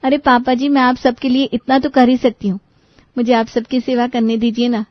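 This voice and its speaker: A woman's voice: a little high, flat delivery, normal speed, no echo, highs cut off, lightly acted. A woman voicing an eager, polite young daughter-in-law in a comic story, earnest and proud of her cooking. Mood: earnest, devoted.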